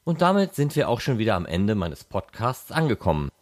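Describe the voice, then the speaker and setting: Guy hosting a podcast, lowish voice w lots of up and down, reading scripted previews. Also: sing-song, fairly quick, loud music behind the voice. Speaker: podcast host.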